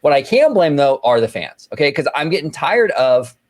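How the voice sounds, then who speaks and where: A man's voice: on the high side, big pitch swings, normal speed. A man hosting a casual podcast, brash and chatty, swearing freely and repeating his phrases as he riffs. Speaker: podcast host. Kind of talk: casual chat.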